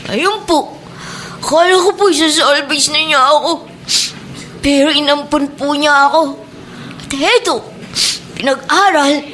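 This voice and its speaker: Radio drama child role: reformed little Filipino street snatcher, very high voice, deferential, sincere, slight noise behind. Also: female voice, a little slow, long pauses, fairly bright tone. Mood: earnest, confiding. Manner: polite, deferential. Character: earnest, polite adopted schoolchild, reformed young street snatcher named Mark.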